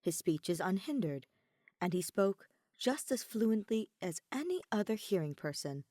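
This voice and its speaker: A woman's voice: medium pitch, big pitch swings, moderate pace, dark tone, no room sound, clean studio sound. A woman reading a story as scripted narration, expressively, with dramatic emphasis on key words. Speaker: podfic narrator reading fan fiction.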